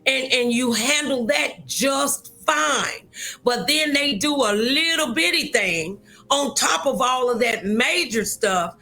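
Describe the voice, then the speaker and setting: A woman's voice: high voice, animated, slow, sharp tone. A woman hosting a talk show, speaking conversationally and emphatically, repeating words for stress.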